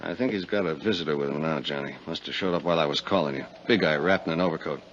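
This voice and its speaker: An actor playing a loyal, affectionately gruff sidekick with folksy slang in a 1950s radio detective drama. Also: male voice, rumbling low, fast talker. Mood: watchful. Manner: folksy, colloquial. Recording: dull, noisy 1950s radio recording.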